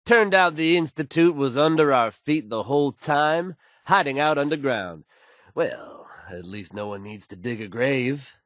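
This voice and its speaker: An actor playing a confident, smooth male radio news host in a video game, folksy and dramatic in his announcing. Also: medium pitch, expressive, a little slow, fairly bright tone, no room sound, clean studio sound. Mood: assured, satisfied.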